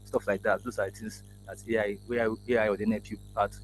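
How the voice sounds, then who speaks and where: Halting man on a noisy call. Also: fairly low pitch, flat delivery. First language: Yoruba. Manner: halting, many restarts and fillers. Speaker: AI engineer guest. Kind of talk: casual interview over video call. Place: indoor office room. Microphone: video call laptop mic. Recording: noisy video call audio.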